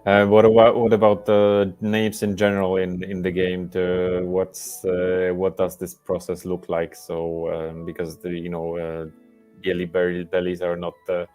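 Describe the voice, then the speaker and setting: A man hosting a livestream, asking halting questions full of fillers. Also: sits low, monotone, a little mellow, faint music behind. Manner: halting, fills with uh-huh and um. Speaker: host. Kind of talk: livestream interview.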